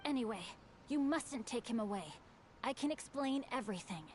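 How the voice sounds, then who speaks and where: A woman's voice: fairly high pitch, expressive, normal speed, a little mellow, a little hiss or hum, close to an everyday voice. A woman voicing a polite, bookish, easily flustered teenage boy with formal, earnest phrasing in an anime game. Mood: anxious, pleading.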